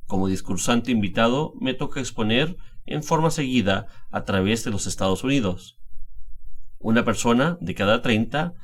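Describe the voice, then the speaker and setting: A middle-aged man from Chile with a Chilean Spanish accent, calmly reading a scripted language lesson. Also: mid-range voice, moderate pace. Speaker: language teacher, guest speaker. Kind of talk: scripted instructional narration.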